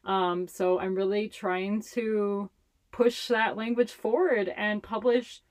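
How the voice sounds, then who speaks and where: A woman's voice: mid-range voice, little pitch movement, slow pace. A young adult woman from Hawaii with a Hawaiian accent, whose first language is Hawaiian Pidgin English, chatting casually as an interview guest and laughing often. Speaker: public health communicator, guest.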